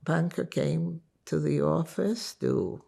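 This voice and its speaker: An older woman from Lithuania whose first language is Yiddish, recalling her life story in an interview in an unhurried, reflective way. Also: sits low, vocal fry, mellow, slightly rough. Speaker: interviewee giving testimony.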